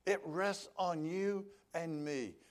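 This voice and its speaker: A man's voice: on the high side, slow. A male pastor preaching a sermon, telling stories and voicing the people he quotes.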